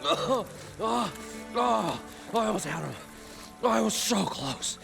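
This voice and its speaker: An actor playing a proud, stubborn, excitable tinkerer in a video game, with a gravelly voice and a theatrical, slightly condescending manner. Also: male voice, high voice, slow, music in the background, full character voice. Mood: frustrated.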